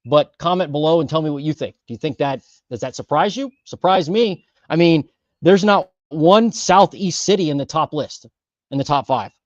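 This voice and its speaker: Male host, fairly high voice, loose filler-heavy delivery, dry dull lo-fi sound. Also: expressive, moderate pace, dry recording. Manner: chatty, filler-heavy, self-correcting. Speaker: host of a housing market livestream. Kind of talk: casual livestream data rundown.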